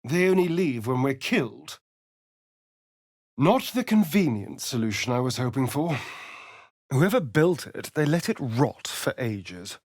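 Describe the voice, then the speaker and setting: A man's voice: mid-range voice, big pitch swings, a little slow, darkish tone, no room sound, studio quality. A man playing a sly, centuries-old vampire spawn in a video game, arch, flamboyant and teasing, with precise enunciation. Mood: dry, wry. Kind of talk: video game character voice lines and narration.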